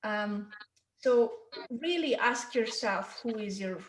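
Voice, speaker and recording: A woman's voice: fairly high pitch, expressive, slow pace, a little hiss or hum. Hungarian-accented woman teaching in a roomy space. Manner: chatty, checks in with "okay?" and "right?". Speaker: marketing workshop presenter. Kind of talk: informal online teaching talk.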